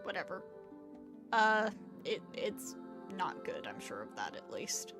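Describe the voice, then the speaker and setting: A woman's voice: mid-range voice, very animated, takes it slowly. A woman livestreaming a game, chatting casually and commenting as she plays. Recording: loud game music behind. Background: loud game music.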